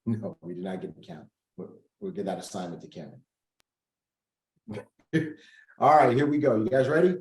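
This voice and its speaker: A man's voice: lowish voice, very animated, moderate pace, long pauses, a little mellow, echoey room, poor audio. A man hosting a casual group coaching call over video, upbeat, thinking aloud and reading out figures. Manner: upbeat, reads out numbers, thinks aloud while clicking.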